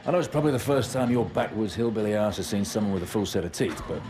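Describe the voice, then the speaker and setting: An actor playing a cocky veteran special-forces soldier with a dry, mocking way of teasing. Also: male voice, lowish voice, quick pace, natural voice. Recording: music behind.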